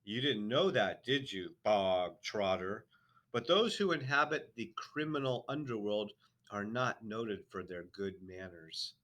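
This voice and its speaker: A man voicing a bellowing, tyrannical headmistress who booms insults, in a storybook reading. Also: measured pace. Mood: mock sweet. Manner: booming, shouting insults.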